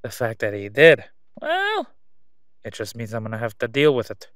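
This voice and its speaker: Casting: male actor as menacing mob boss for audio roleplay, oily, smug, mid-pitched, very dry close sound. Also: huge pitch swings, fairly bright tone, no room sound. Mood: dismissive, scheming. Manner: oily, taunting, drawn-out delivery with stalling pauses. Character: sleazy, menacing Gotham crime boss, a man, smugly haggling. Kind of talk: solo audio roleplay acting.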